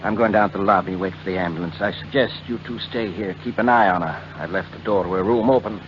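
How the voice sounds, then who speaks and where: An actor playing a grave, professional doctor in a 1940s radio drama. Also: male voice, sits low, fast, close to an everyday voice. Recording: muffled 1940s radio recording with hiss.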